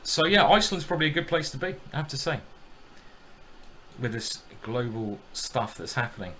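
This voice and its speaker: Bright-voiced chatty male chess streamer. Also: medium pitch, animated, moderate pace. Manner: chatty, jokey, greets viewers while thinking aloud. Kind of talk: casual live-stream game commentary.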